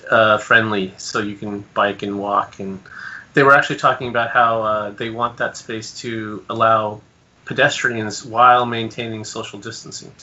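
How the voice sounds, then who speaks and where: A man chatting quickly and agreeably in a panel discussion over a video call. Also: on the low side, bright, forceful tone. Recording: dull lo-fi video call audio.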